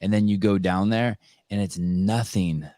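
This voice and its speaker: A man from California hosting a podcast in casual conversation, telling animated stories and swearing freely. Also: on the low side, a little slow, dry recording, clean studio sound. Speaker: podcast host.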